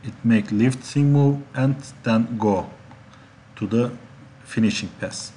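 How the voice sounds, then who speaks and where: Guy teaching software step by step, slow n halting, some background noise and keyboard clicks. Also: mid-range voice. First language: Turkish. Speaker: instructor. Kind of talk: software tutorial narration.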